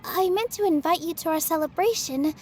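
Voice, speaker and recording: A woman voicing a gentle, modest young female dancer in a video game, speaking politely and softly. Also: extremely high pitch, fairly quick, slight background noise. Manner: soft-spoken, polite and self-effacing. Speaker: game character.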